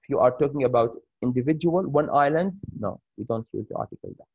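Halting man with an Arabic accent teaching grammar. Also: medium pitch, lively intonation, slightly rough, dry recording, narrow, tinny audio. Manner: halting, many fillers and restarts. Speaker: English teacher. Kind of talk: screen-share grammar lesson.

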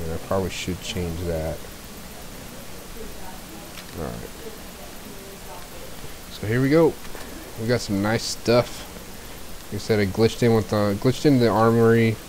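Low-voiced man streaming a game with a cold. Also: noisy background. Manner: rambling, easygoing, with fillers and clearing his throat. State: getting over a cold, a bit scratchy. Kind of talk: casual gameplay commentary. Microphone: cheap desk mic.

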